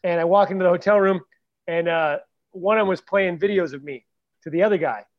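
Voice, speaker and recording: A man's voice: high-pitched, fairly quick, muffled recording. A man co-hosting a podcast, chatting casually and telling funny stories with mimicked voices. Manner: joking storyteller, mimics voices. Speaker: podcast co-host. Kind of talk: casual chat.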